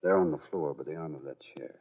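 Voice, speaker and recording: An actor playing a well-mannered, startled husband lost on the road, with genteel exclamations and an insistent manner, in an old-time radio drama. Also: male voice, very deep voice, fast, breathy edge. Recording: muffled lo-fi old radio recording.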